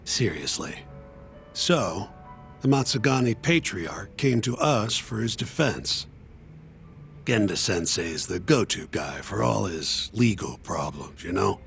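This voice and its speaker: Gravelly, blunt lawyer. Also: male voice, lowish voice, animated, takes it slowly, fairly bright tone, soft background music, exaggerated voice. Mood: matter-of-fact. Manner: blunt, colloquial, matter-of-fact. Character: brisk, pragmatic, unsentimental male defense lawyer. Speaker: video game voice actor. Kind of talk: English game dub dialogue.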